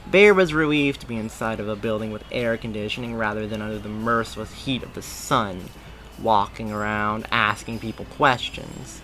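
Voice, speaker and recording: A man's voice: fairly low pitch, normal speed, a bit bright, slight background noise. A man reading a story aloud as narrator in an emphatic way, now and then stumbling and rereading a phrase.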